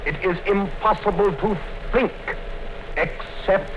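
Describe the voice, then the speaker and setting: An actor in a 1950s radio play playing a calm, coldly menacing male interrogator who speaks in a measured way. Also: sits high. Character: cold, patient male party interrogator, middle-aged. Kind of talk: 1950s radio drama acting. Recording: dull 1950s radio broadcast with hiss.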